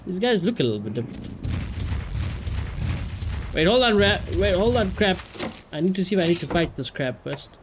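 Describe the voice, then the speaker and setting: High-voiced man livestreaming with background noise. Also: normal speed, pauses often. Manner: animated, slangy, swearing. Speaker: live streamer. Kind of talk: casual livestream commentary.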